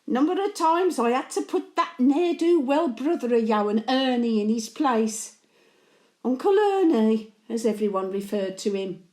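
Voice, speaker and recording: A woman's voice: a little high, animated, measured pace, dark tone, roomy sound. A woman playing a blunt, antisocial elderly Birmingham widow, telling chatty stories in local dialect as a dramatic monologue. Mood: bitter, reminiscing. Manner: blunt, grumbling, colloquial dialect. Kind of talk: dramatic monologue, chatty storytelling to late husband.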